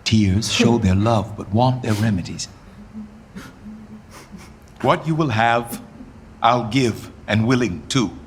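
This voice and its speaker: A man's voice: on the low side, takes it slowly, long pauses, slight background noise. A man acting a grieving, bitterly ironic king facing deposition in a Shakespearean radio play, with brooding, theatrical verse delivery. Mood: resigned irony.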